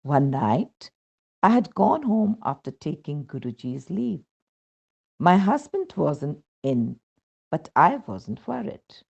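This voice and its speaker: A woman from India with an Indian accent reads a scripted devotional testimonial aloud in a measured, storytelling way. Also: sits low, big pitch swings, vocal fry, darkish tone, slightly rough. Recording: dull, muffled lo-fi sound.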